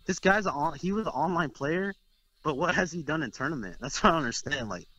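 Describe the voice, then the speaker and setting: A man's voice: fairly high pitch, lively intonation, fast, sharp tone, muffled recording. A young man from Texas talking trash in a casual interview, boastful and rambling with lots of "like"s. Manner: brash, boastful, rambling with many "like"s. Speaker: interviewed competitive fighting game player.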